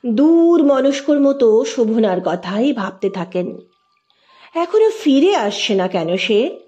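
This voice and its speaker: Higher-pitched woman narrating a story. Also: very animated, slow pace, dark tone, highs cut off. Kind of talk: scripted literary narration, dramatic storytelling.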